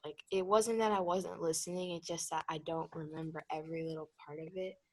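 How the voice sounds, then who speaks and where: A woman student with a somewhat low voice explains her experience in class. She is earnest and careful in how she puts it. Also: steady tone, a little fast, a little mellow. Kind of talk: sharing thoughts in class.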